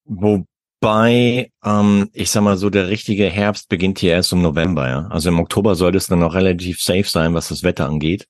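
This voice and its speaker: A man hosting a podcast, chatting casually and relaxed with a guest, using many fillers. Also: sits low, moderate pace, no echo. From Germany. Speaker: podcast host. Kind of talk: casual interview chat. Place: home in France.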